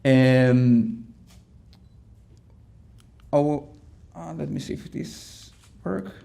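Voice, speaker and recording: A middle-aged man from Chile with a Chilean Spanish accent, giving a conference presentation in a halting way, with many ums and restarts. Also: a little high, lively intonation, creaky, dark tone. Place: conference room.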